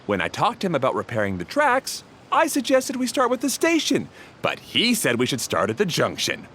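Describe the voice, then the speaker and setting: A man's voice: on the high side, huge pitch swings, normal speed, bright, forceful tone, slight background noise, heavily acted. A man voicing an earnest young railway repairer in a video game, explaining things with a grumbling edge. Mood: exasperated. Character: young male railway repairer, earnest, aggrieved by his brother.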